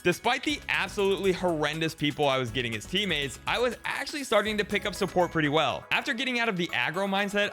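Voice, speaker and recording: A man narrating his own climb through a competitive game in an even, explanatory voice-over. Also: sits high.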